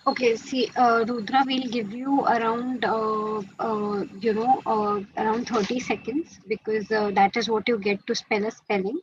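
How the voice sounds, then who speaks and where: A woman's voice: on the high side, flat delivery, unhurried, few pauses, slightly rough, no echo, dull audio. A woman with an Indian accent explaining quiz rules to students on a webinar, mixing Hindi into English with many fillers. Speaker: quiz organizer teaching students. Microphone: video call.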